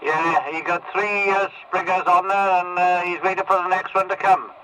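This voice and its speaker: A man's voice: high voice, fairly even intonation, moderate pace, lo-fi sound. A man running a casual CB radio net, talking in a rambling, hesitant way over a radio transmission. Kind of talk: casual amateur radio chat. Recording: very noisy CB radio reception.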